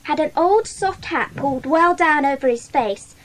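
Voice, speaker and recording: A woman's voice: high voice, animated, normal speed, a little hiss or hum, poor audio. A woman playing an observant, earnest schoolgirl who speaks precisely in a children's audio drama. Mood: earnest, proud. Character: Janet, bright observant schoolgirl club member.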